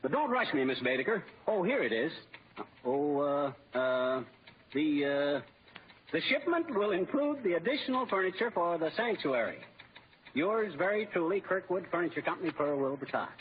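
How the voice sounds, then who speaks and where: A meek little office clerk in an old radio comedy, high-pitched and swooping all over. He is flustered and hesitant and chuckles at his own jokes. The sound is tinny, like an old broadcast. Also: big pitch swings, normal speed. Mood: fussy, hesitant. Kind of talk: 1940s radio comedy acting. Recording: tinny, faintly noisy old radio recording.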